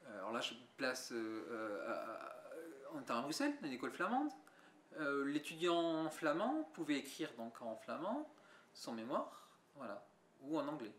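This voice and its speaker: A man's voice: on the high side, a bit bright, slight background noise. A young adult man, a visual artist, answering interview questions thoughtfully with many restarts and fillers. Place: museum gallery. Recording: echoey room.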